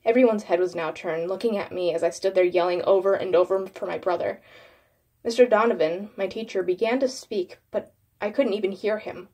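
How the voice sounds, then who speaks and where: Woman reading creepypasta, dramatic narrator style, normal mid pitch. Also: brisk, darkish tone. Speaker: horror story narrator.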